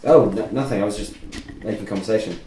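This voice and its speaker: A man's voice: fairly low pitch, fast, mellow, a little hiss or hum, lightly acted. A young man reading the part of an anxious, self-effacing male student in a casual, hesitant way at a film script table read. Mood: casual, flustered. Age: young adult. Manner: casual, hesitant, sweary delivery. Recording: echoey room, distant mic.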